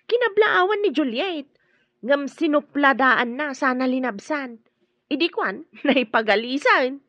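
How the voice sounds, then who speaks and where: A woman with an Ilocano accent playing a gossipy, animated village woman in a radio drama. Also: high-pitched, measured pace, no room sound, dull audio.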